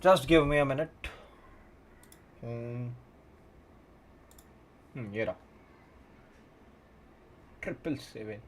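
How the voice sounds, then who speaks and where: A man's voice: mid-range voice, a little fast, long pauses, slight background noise. A man with an Indian accent hosting a livestream watch party, chatting casually and switching between Hindi and English. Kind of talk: casual live stream chat, mixing Hindi and English.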